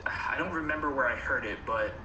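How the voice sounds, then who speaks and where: A man's voice: medium pitch, fairly quick, echoey room, muffled recording, noisy background. A man from the United States chatting casually, with plenty of "like" fillers. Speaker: guest commentator. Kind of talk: casual chat.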